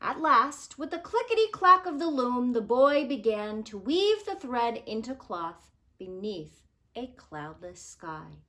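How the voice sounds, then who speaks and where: A woman reads a children's story aloud with expressive, dramatic phrasing. Her voice is bright and fairly high, and she takes her time over the words. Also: takes it slowly. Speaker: storybook reader.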